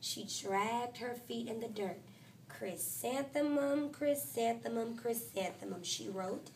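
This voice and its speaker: A woman teacher reads a picture book aloud in her classroom, with a somewhat high voice. She makes the story lively and expressive, lifting the characters' lines. She sounds a little distant from the microphone. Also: slow pace, slight background noise. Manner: expressive storytelling, voices quotes higher and louder.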